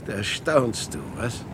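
An actor playing a frail old father waiting for his lost son in a radio play, halting and swinging between murmurs and outbursts. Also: medium pitch, lively intonation, background noise. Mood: chuckling, defiant. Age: older. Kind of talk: German radio play acting.

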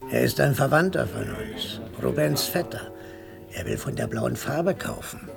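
An actor playing a bitter old father grumbling about his son in a German radio play, with a creaky, gravelly voice. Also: mid-range voice, expressive, unhurried, over music. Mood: lively, teasing.

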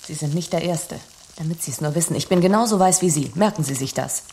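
Prickly young wife, defensive, low creaky voice, radio drama. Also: female voice, fast talker, a little mellow, a little hiss or hum. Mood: curt, defiant. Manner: curt, defensive. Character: prickly, proud young diner owner's wife.

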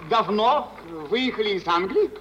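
An actor playing a young, self-important suitor who speaks with halting, formal dignity, in old Russian stage style. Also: male voice, high voice, lively intonation, a bit bright. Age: young adult. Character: stiff, touchy young suitor putting on dignity. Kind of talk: 1940s Russian stage acting for radio. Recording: muffled, hissy old radio play.

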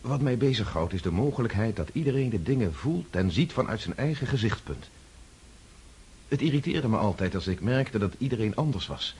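A man's voice: lowish voice, sing-song, a little fast, darkish tone, slightly rough, exaggerated voice. An actor playing a thoughtful nobleman who has lived through war and speaks earnestly and inwardly in a radio drama. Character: reflective male nobleman recovering from war.

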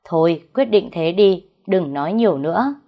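A woman's voice: lowish voice, expressive, normal speed, highs cut off. A woman voicing an older rural matriarch who scolds and gives stern orders in a story narration. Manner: scolding, commanding.

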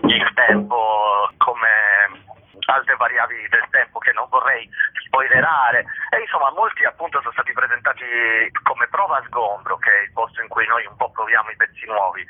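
A man's voice: medium pitch, moderate pace, breathy edge, phone-quality sound. A man, actor and author, talking about his show on the radio in a self-deprecating way, often chuckling and restarting. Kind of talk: radio interview.

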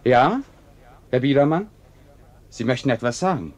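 An actor playing a politely sceptical radio presenter in a radio play, measured, probing and dryly ironic. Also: male voice, mid-range voice, expressive, darkish tone, natural voice. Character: cool, politely sceptical male radio host. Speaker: radio announcer and interviewer.

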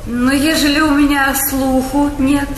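A woman's voice: high voice, little pitch movement, unhurried. A woman playing a pert, sulky young dependant in a Russian stage comedy, speaking bluntly. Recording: echoey hall, faint noise.

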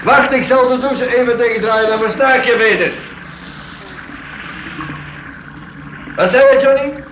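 An actor playing an easygoing detective novelist and master of the house in a radio play, wry and informal. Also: male voice, very high voice, a little fast, fairly bright tone, highs cut off. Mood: impatient, amused. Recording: echoey old radio recording, faint noise.

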